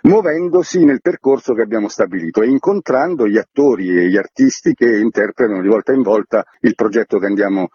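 Very husky man being interviewed. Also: lowish voice, animated, fairly quick, few pauses, dark tone, no room sound, dull audio. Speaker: theatre artistic director, interview guest. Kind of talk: radio interview, project explanation.

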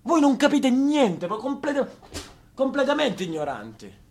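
Husky, histrionic southern Italian man. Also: extremely high pitch, very animated, a little slow. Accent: southern Italian, Apulian. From Apulia, southern Italy. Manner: histrionic, emphatic, repeats words for effect. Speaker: stage actor and monologist. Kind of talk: theatrical comic monologue.

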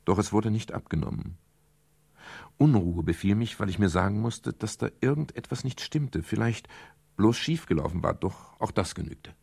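Brooding private eye for radio crime drama: very deep, husky, measured literary narration, melancholy. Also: male voice, animated, darkish tone, no echo, full character voice. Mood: uneasy. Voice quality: gravelly, husky. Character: brooding male private detective narrating his own case. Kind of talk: 1970s German radio crime drama, first-person narration.

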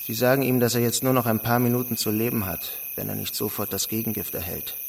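A man's voice: medium pitch, steady tone, fast talker, vocal fry, slight background noise, lightly acted. An actor playing a grave man in his mid-thirties who reports in a terse, matter-of-fact way in a radio drama.

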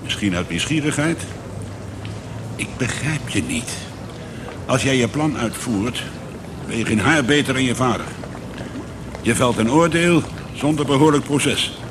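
An actor playing a controlled, middle-aged police commissioner in a radio play, with terse, measured questions. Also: male voice, medium pitch, animated, noisy background, lightly acted. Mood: probing, controlled.

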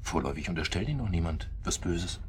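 An actor playing a bitter, accusing club member in a radio drama, speaking in a pointed, sardonic way. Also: male voice, low voice, lively intonation, fast talker, very noisy. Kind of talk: naturalistic radio drama acting.

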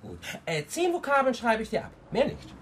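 A man playing a kindly, lively old grandfather in a children's radio play, animated and good-humoured. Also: very high-pitched, normal speed, heavy background noise. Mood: helpful. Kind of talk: radio drama dialogue. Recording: studio radio play with music underneath.

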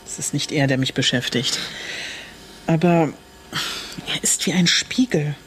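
An actress playing a disillusioned middle-aged wife in a radio drama, reflective yet challenging, with pointed questions. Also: female voice, sits low, a little slow, slight background noise. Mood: pensive, estranged. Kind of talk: naturalistic German radio drama dialogue.

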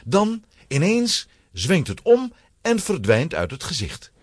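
A man's voice: fairly high pitch, huge pitch swings, slow, slight background noise. A man narrating a scripted children's adventure story in a dramatic style.

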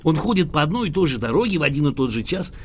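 An actor in an audio drama playing a cranky, boastful, litigious old English gentleman, emphatic, pompous and theatrical, with a creaky, husky voice. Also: fairly high pitch, sing-song, very fast. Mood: insistent. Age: older.

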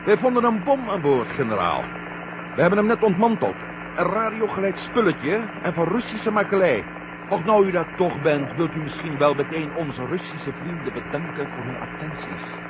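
An actor playing a brisk, confident, sardonic male astronaut commander in a science-fiction radio play. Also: on the high side, expressive, natural voice. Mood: wry, brisk. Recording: noisy muffled radio drama with hum.

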